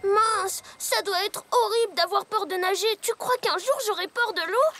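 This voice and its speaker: Eager, very high-pitched little filly. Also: female voice, normal speed. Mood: curious. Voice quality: childlike voice. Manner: eager, innocent questioning. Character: eager, curious little filly, young granddaughter. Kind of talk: French cartoon dubbing. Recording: loud music behind dialogue.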